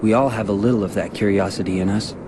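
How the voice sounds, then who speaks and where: A man playing a thoughtful, earnest young adventurer in a game cutscene, speaking in a measured, reflective way. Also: lowish voice, fairly even intonation, background noise, lightly acted. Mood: earnest, pensive.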